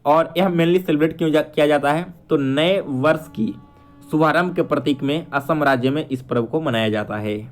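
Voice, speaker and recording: A man's voice: fairly high pitch, moderate pace. A man teaching exam questions, explaining answers step by step and checking in with viewers. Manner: instructional, addresses viewers directly with frequent check-ins.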